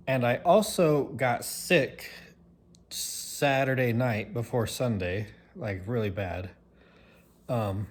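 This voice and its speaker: Man, vlogger, casual rambling chat, lots of fillers, lowish voice. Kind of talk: casual vlog talk.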